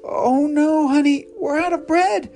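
A woman voicing a gentle, patient mother in a children's story, soft and coaxing. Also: high-pitched, a little slow, slight background noise, voice pushed up. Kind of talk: storybook character voice.

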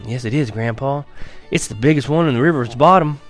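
A man's voice: medium pitch, a little fast, soft background music, pitched above the performer's own voice, close to an everyday voice. A man voicing a tired, earnest young country boy in a spoken story.

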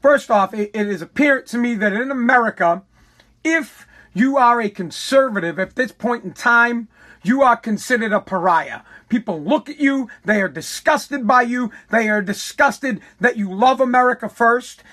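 A man hosting a podcast with a hoarse, worn-out voice, delivering a bombastic comedic rant full of stammers and repeated words. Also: extremely high pitch, moderate pace, bright, forceful tone. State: hoarse, lost voice. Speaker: podcast host.

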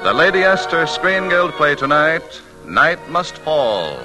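A man's voice: on the high side, big pitch swings, slow, rough recording. A man announcing formally in grand, theatrical 1940s radio style. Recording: old radio broadcast with music behind.

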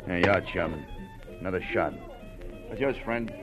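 An American man playing a brusque, streetwise bartender, slangy and gruffly chummy, in 1940s-style radio crime drama. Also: low voice, expressive, normal speed. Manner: slangy, gruffly familiar barroom talk. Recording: dull old radio recording, faint music.

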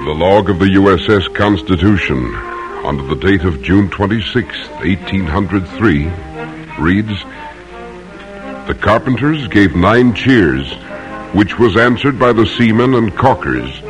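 A man narrating a 1950s radio drama in a measured, declamatory style, on an old broadcast recording. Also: low voice, animated, rough recording. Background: loud orchestral music.

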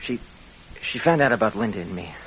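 A glib, scheming husband in a vintage radio play, acted by a man. He coaxes smoothly in a mid-pitched male voice. The recording is muffled, with a light hiss. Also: lively intonation, a little fast, lightly acted. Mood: feigned embarrassment. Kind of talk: old-time radio drama acting.

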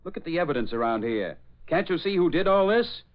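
An actor playing a shrewd, decisive police inspector in a 1940s radio drama. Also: male voice, fairly high pitch, big pitch swings, a little fast. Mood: certain. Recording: dull old 1940s radio recording.

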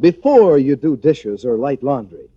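A man delivering a scripted 1940s radio advertising pitch as the announcer. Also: very animated, a little slow, slightly rough, no echo. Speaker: commercial announcer. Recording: dull, dry old radio recording.

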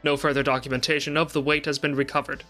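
A man's voice: fairly high pitch, little pitch movement, quick pace, pushed and bright, faint music behind. A man reading a fictional report aloud on a livestream and chatting casually. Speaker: guest on a charity stream.

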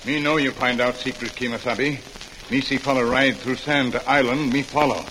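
A man plays a stoic, loyal Western sidekick in clipped, broken sentences. The voice is forceful and mid-pitched, on an old radio recording with faint crackle. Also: fairly even intonation, brisk, close to an everyday voice. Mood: stoic, terse.

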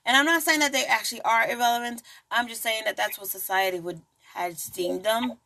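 A woman's voice: a little high, fairly quick, vocal fry, bright, forceful tone. A woman hosting a true crime podcast in a casual, chatty way, full of asides and jokes. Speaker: podcast host.

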